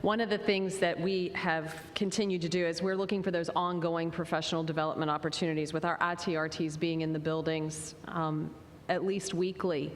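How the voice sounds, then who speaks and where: A woman's voice: level tone, a little fast, few pauses. Woman panelist in an echoey hall. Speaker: school technology administrator. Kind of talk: panel discussion answer. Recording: reverberant conference hall, faint rumble.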